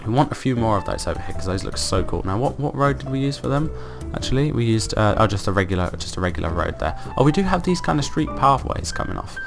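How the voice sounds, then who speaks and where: A man with a croaky voice from being ill, hosting casual gameplay commentary and thinking aloud in an upbeat, rambling way. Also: medium pitch, very animated, fast talker, hardly any pauses, music in the background. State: croaky from illness. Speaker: let's play host.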